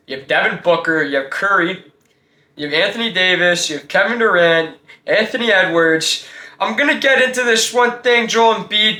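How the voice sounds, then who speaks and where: A man's voice: on the high side, pushed and bright, roomy sound. A man hosting a casual sports talk show, giving blunt, slangy opinions and firing off rhetorical questions.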